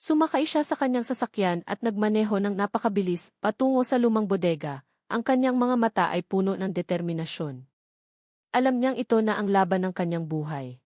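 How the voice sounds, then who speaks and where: A woman's voice: medium pitch, darkish tone, no room sound. Filipino woman narrating, dry close sound. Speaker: story narrator. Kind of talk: scripted dramatic story narration.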